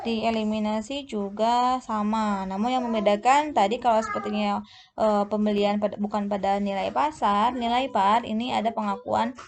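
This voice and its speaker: A woman teaching a lesson as a tutor, explaining step by step in a halting way and often correcting herself. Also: medium pitch, gravelly, a little hiss or hum. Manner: halting, often searches for words and self-corrects. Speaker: accounting tutor. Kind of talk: explanatory lesson walking through tables.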